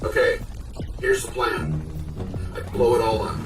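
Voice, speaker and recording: A man's voice: medium pitch, animated, unhurried, close to an everyday voice. A man playing a cocky, sarcastic superhero genius in a video game, with an urgent, wisecracking delivery. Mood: urgent, commanding. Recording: distant voice under clear music.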